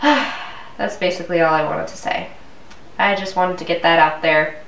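A woman's voice: fairly low pitch, fairly even intonation, moderate pace, fairly bright tone. Stuffy-nosed young woman ranting. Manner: chatty, exasperated ranting. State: stuffy, congested from a cold. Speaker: vlogger. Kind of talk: casual ranting vlog. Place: living room at home, indoors. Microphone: camera mic from a few feet away. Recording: roomy, distant camera audio.

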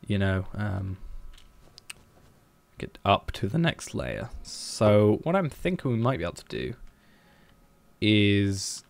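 Low gravelly guy streaming, mumbling his building plans, keys clacking behind. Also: very animated, slow pace, long pauses. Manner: musing, hesitant, planning out loud. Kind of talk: thinking aloud while building in a game.

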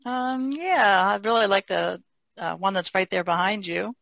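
Creaky woman in casual chat. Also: mid-range voice, a little slow, a bit bright, dry recording. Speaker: artist, podcast participant. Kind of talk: casual chat, asking questions.

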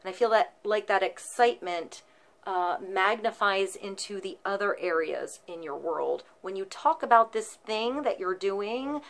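A woman's voice: mid-range voice, a bit bright. A woman in her thirties doing an intuitive tarot reading to camera, flowing and reassuring, with frequent ums and likes. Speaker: tarot reader. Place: small indoor room, seated before a painted wooden backdrop.

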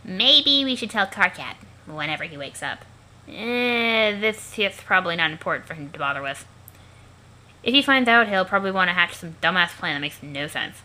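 A woman's voice: fairly low pitch, animated, a little fast, bright, forceful tone, pitched below the performer's own voice, rough recording. A woman playing a cocky, sweary teenage alien gamer with a casual, sardonic manner, in an amateur webcomic reading.

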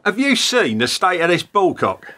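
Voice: medium pitch, sing-song, normal speed, pushed and bright. A performer in a radio play voicing a grumbling, chatty plumber, opinionated and full of complaints. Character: grumbling, chatty plumber lamenting a throwaway world.

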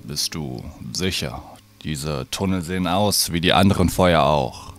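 A man playing a grim, proud, distrustful druid in a fantasy audio drama, gruff and commanding. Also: rumbling low, measured pace, no room sound. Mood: suspicious, annoyed.

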